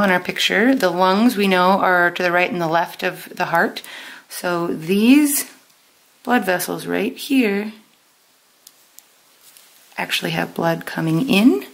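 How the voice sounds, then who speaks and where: A woman teaching a step-by-step lesson in a deliberate, instructional way, pausing and stressing key terms. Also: on the low side, gravelly. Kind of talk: step-by-step lesson with pauses for note-taking.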